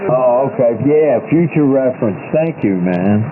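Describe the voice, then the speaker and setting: A man's voice: medium pitch, animated, a little slow, a crowd in the background. A man bantering over a two-way radio, rambling and joking, laughing at his own lines. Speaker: radio chatter regular. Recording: noisy tinny two-way radio.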